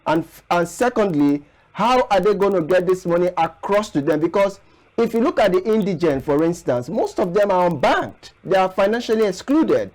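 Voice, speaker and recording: A Nigerian man hosting a broadcast interview, putting questions in measured, formal and wordy phrasing. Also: sits high, big pitch swings, a little mellow. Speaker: interviewer, show host.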